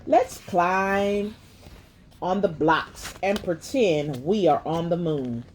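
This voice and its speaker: A woman's voice: fairly low pitch, slow pace, darkish tone, a little hiss or hum. A woman voicing a curious, playful little girl in a children's storybook. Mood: playful, eager. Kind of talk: storybook character voice.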